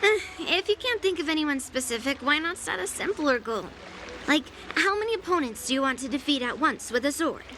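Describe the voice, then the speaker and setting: A woman's voice: sits high, expressive, brisk, sharp tone, a little hiss or hum, full character voice. A woman playing a confident, blunt young girl swordmaster in video game voice acting, frank and teasing. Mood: amused. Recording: game music behind the voice. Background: game background music.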